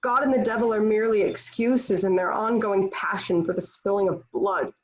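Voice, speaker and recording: The sound is dull and lo-fi. A woman acts out a young female warrior in captivity, devout and unbowed. She declaims in solemn, formal lines at a medium pitch, as in a historical stage play. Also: steady tone, normal speed, lightly acted. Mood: scornful, defiant. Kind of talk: table-read stage acting of historical drama. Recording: dull, lo-fi home online recording.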